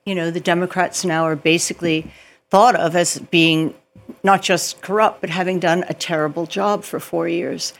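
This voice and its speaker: A woman's voice: fairly low pitch, steady tone, normal speed, gravelly. A woman journalist giving her views as a guest on a news panel, thinking aloud with ums and restarts. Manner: thoughtful, with frequent ums and restarts.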